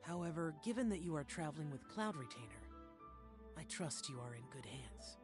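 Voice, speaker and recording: A courteous, protective guardian from a video game, speaks in a low register. The delivery is formal and restrained, with music playing clearly underneath. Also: female voice, lively intonation, moderate pace. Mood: reserved, courteous.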